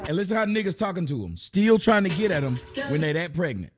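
Loud ranting man with lively pitch. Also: fast talker, music in the background. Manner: loud, mocking, preachy rant. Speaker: live stream host. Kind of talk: ranting commentary on live streams. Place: home room with a fireplace.

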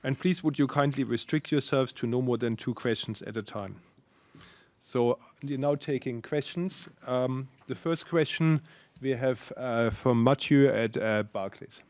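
A man's voice: medium pitch, a little slow, darkish tone, dry recording. A man moderating an investor call, giving formal instructions.

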